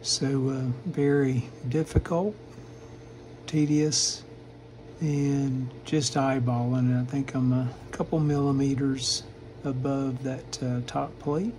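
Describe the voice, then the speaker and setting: An older man giving a casual, matter-of-fact, halting narration of hands-on repair work at a workbench. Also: mid-range voice, little pitch movement, a little mellow, a little hiss or hum. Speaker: vintage radio repair hobbyist, channel host.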